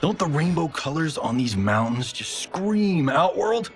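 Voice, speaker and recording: An actor playing a cocky, upbeat Hollywood action star turned director in a video game, breezy, slangy and wisecracking. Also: male voice, fairly high pitch, very animated, a little slow, soft background music. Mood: breezy, playful. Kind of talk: cinematic game cutscene acting.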